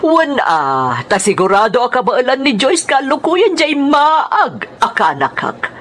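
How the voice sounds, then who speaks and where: A woman's voice: sits high, wildly swooping pitch, measured pace, fairly bright tone, exaggerated voice. Woman acting a haughty, imperious older villainess. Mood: scornful, bossy. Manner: pompous, imperious. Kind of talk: radio drama acting.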